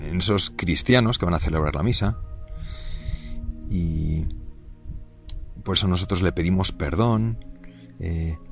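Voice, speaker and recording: Deep-voiced man giving a halting catechesis. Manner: gentle, halting, many fillers and restarts. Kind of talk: unscripted spoken catechesis.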